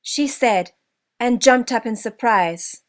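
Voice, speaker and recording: A woman narrating a children's story from a script, with expressive delivery and deliberate emphasis. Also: a little high.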